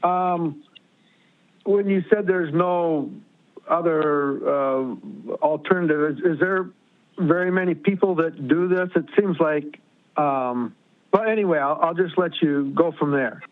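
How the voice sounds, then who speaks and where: A thin, radio-like phone line carries a man's somewhat high voice. He speaks as a commission member taking part in formal meeting business. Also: a little slow.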